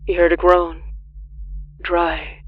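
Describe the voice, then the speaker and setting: Horror audio fiction narrator: grave, deliberate, medium pitch, pausing between phrases, on a slightly muffled recording. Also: level tone, husky. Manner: grave, measured delivery with deliberate pauses. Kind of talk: scripted dramatic horror narration.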